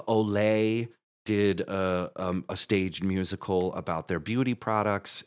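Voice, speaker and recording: A man speaking as a podcast guest, giving conversational answers in an earnest, halting way with emphatic restatements. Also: low voice, clean tone. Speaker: podcast guest, musical creator.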